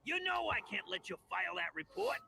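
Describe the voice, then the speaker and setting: A woman's voice: mid-range voice, very animated, a little fast, gravelly, pushed and bright, a little hiss or hum, full character voice. A woman playing a ruthless, contemptuous military commander in a motion comic, cold and menacing. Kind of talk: dramatic motion comic dialogue.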